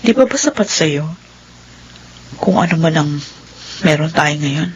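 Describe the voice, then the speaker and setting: A woman's voice: deep voice, expressive, a little slow, lo-fi sound, a little hiss or hum. A woman playing an exasperated, worried middle-aged mother with a Filipino accent in a melodrama. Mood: subdued, troubled. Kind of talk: melodramatic Filipino drama acting.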